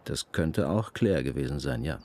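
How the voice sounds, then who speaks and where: An actor playing a calm, experienced police detective in a radio crime drama, speaking politely, deliberately and with reassurance. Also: male voice, a bass voice, gravelly, full character voice. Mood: deliberate, considerate.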